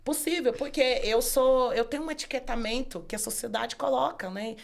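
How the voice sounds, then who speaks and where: A woman with a Northeastern Brazilian accent, a law professor and author, talking as a guest in a casual interview, earnest and often pausing to rephrase. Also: on the high side, vocal fry. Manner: earnest, with frequent hesitations and restarts.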